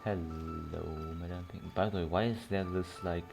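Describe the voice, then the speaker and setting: Low-voiced man doing game commentary. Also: fairly even intonation, normal speed. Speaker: game streamer. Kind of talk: casual playthrough commentary, reading dialogue aloud. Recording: clear game music behind voice. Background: game music.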